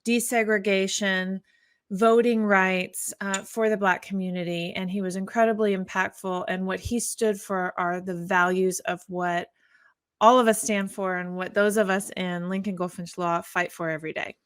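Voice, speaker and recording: A woman lawyer chats on an online show in careful, slow Spanish, her first language being English. She pauses, restarts and switches into English when the words run out. Her voice sits at an ordinary middle pitch. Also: fairly even intonation. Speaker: immigration lawyer, show regular. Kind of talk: casual online talk show.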